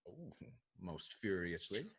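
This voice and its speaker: Man playing a roguish, husky, swooping sea captain. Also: sits low, sing-song, heavily acted. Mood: amorous bravado. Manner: theatrical, swaggering delivery with hesitant teasing pauses. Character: roguish rakish sea captain, lusty, boisterous, flirtatious Englishman. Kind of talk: Restoration comedy stage acting.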